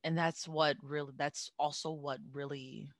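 A woman with a deep voice, a singer chatting as a guest in an interview. She talks reflectively and restarts her sentences often. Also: steady tone, dry recording, clean studio sound. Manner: reflective, halting, with many restarts. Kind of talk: casual interview chat.

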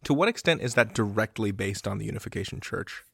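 A man's voice: lowish voice, lively intonation, fast, creaky, a bit bright, husky, no echo, clean studio sound. A man hosting an audio drama podcast, introducing the segment and interviewing a guest.

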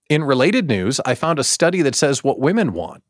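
A man hosting a casual live show, talking straight to viewers in an animated, theatrical way with exaggerated emphasis. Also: mid-range voice, no room sound, clean studio sound. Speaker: host of a daily live show for small business owners.

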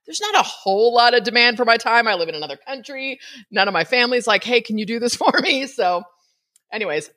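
A woman's voice: a little high, lively intonation, fast, fairly bright tone. A middle-aged woman hosting a podcast, chatting casually with frequent laughs and asides. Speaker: podcast host.

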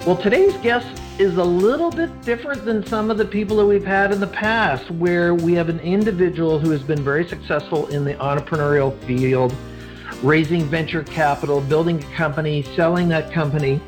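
Man, podcast host, slightly high voice, rambling, many fillers, faint music. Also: fairly quick. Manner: rambling, with frequent fillers and restarts. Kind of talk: podcast interview.

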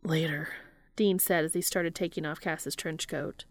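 A woman's voice: on the low side, steady tone, normal speed, no room sound. A woman podcast host reading scripted narration in the style of an audiobook. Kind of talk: scripted fan fiction narration.

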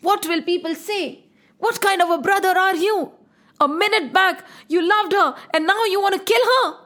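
A woman's voice: moderate pace, a bit bright. A woman voicing a righteous noble husband who pleads urgently for someone to stop. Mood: pleading. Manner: urgent, pleading, raised pitch.

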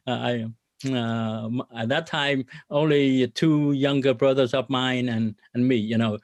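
A man's voice: medium pitch, fairly even intonation, no echo. Earnest Vietnam-born businessman, dry sound. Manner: earnest, many filler pauses, religious phrasing. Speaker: guest business executive. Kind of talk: podcast interview.

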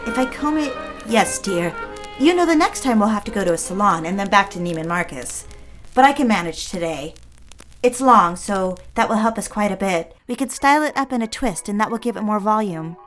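A woman's voice: medium pitch, animated, brisk, soft background music, close to an everyday voice, rough recording. A woman playing an eager, lonely society housewife in a period audio drama, genteel and coaxing in manner. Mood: gentle, managing.

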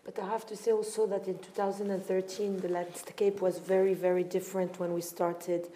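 A woman's voice: mid-range voice, flat delivery, a little fast, warm tone, slight background noise. A woman from Morocco speaking reflectively as a founder taking part in a panel discussion. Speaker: art fair founder on a panel.

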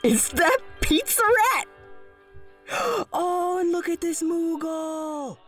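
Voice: very high voice, expressive, slow, fairly bright tone, far from the mic, soft background music, exaggerated voice. A woman playing a laid-back, slangy young customer in an audio drama, with a casual manner. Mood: delighted. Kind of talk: naturalistic audio drama dialogue.

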